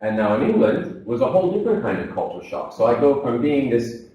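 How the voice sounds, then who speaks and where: A man's voice: mid-range voice, very animated, a little fast, warm tone, highs cut off, a little hiss or hum. A man in his thirties giving a motivational seminar talk, telling his life story and acting out the voices of the people in it. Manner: storytelling, acts out quoted voices. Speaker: seminar speaker. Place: seminar hall. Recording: echoey room, from far off.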